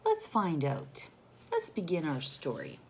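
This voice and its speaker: A woman reads a storybook to young children at a leisurely pace. Her intonation swings widely, and she is expressive and teacherly, turning to the listeners with questions as she goes. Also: low voice, a little slow, gravelly, background noise. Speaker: storyteller reading a picture book to children.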